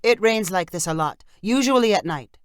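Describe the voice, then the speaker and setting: A woman narrator voices a female car rental clerk in a storybook scene. She is matter-of-fact and businesslike, with varied pitch and a dry sound. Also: expressive, normal speed, clean tone, no echo, natural voice.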